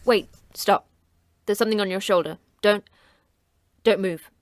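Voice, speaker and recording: A woman's voice: medium pitch, a little slow, slight background noise, lightly acted. A woman playing a terse, tough female facility manager who talks in blunt, clipped, sardonic lines. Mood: stern.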